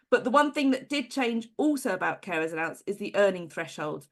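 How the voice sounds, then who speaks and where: A woman hosting an online session over a video call, encouraging and quick to laugh, then walking through a list of changes. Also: mid-range voice. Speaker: host.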